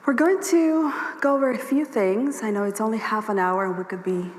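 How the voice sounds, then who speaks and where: A woman with a hoarse voice giving a workshop presentation at a conference, explaining her points steadily and speaking straight to the audience. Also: a little high, fairly quick, a little hiss or hum. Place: conference hall. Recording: echoey hall with long reverb, faint ambience.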